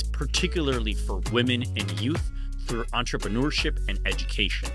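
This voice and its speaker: A middle-aged man co-hosting a podcast, talking in a relaxed, earnest way with many fillers. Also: medium pitch. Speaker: podcast co-host. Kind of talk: casual podcast discussion.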